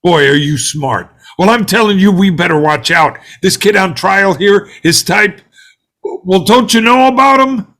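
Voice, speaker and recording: An actor playing an angry, bigoted juror in a play read-through, ranting in a colloquial, hectoring way and growing desperate. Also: male voice, high voice, measured pace, sharp tone, exaggerated voice. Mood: agitated, insistent. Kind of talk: dramatic table read of a stage play.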